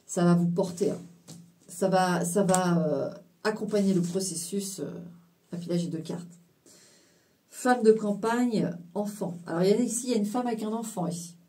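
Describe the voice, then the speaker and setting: Woman doing a tarot reading for viewers, lots of euh and restarts, low mellow voice, kinda far from the mic. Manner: halting, full of fillers and restarts, mixes in English phrases. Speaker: tarot card reader. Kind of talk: casual spiritual card reading to viewers.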